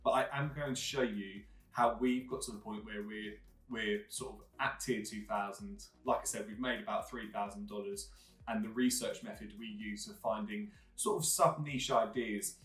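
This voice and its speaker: A man's voice: lowish voice, steady tone, normal speed, a bit bright. A man hosting a video intro, repeating and stacking phrases as he builds a point. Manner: repetitive, listing phrases. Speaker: online business host. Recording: roomy, mic far off, faint music.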